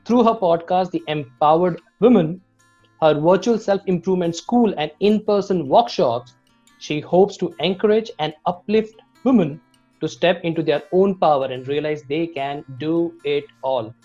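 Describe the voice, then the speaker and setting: A man's voice: fairly high pitch, normal speed, mellow. A man hosting an interview show, reading formal, scripted introductions and then asking his guest questions. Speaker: host, video creation coach. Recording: dull lo-fi audio with music bed.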